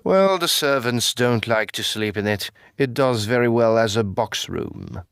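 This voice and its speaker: Man acting an evasive Edwardian homeowner, unhurried delivery, medium pitch, guarded, formal, radio play. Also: animated, a bit bright, clean tone. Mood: evasive, uneasy. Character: evasive, nervous Edwardian house owner, stubbornly dismissive. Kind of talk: audio drama dialogue.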